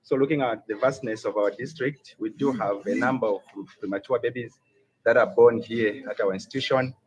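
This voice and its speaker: A man with a Zambian accent giving an impromptu, halting speech as a health official, recorded on location. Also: medium pitch. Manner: hesitant, halting delivery with many pauses. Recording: noisy on-location field recording.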